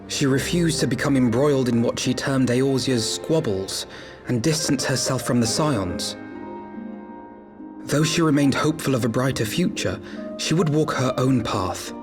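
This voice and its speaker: Game role: refined, idealistic young noble played by a man, mid-pitched, eloquent and grave, music clearly underneath. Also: lightly acted. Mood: sorrowful. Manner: formal, eloquent, measured. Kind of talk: video game cutscene acting. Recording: background music under the dialogue.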